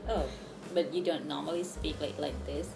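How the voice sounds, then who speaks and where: Low-voiced woman, echoey room. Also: steady tone, moderate pace, creaky, darkish tone, a little hiss or hum. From Thailand. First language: Thai. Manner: hesitant, many fillers, giggly. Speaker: channel host chatting with strangers. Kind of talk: casual video chat. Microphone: online video call.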